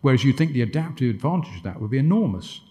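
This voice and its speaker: A man's voice: mid-range voice, sing-song, very fast, warm tone. A man answering questions after his lecture, discursive, with stumbling restarts and dry wit. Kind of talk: conference Q&A answers.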